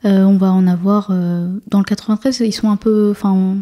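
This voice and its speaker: Dark-voiced young woman, hesitant and flat. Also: mid-range voice, fairly quick. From France. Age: 20s. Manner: hesitant, many fillers and restarts. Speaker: guest, municipal councillor. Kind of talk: casual interview chat.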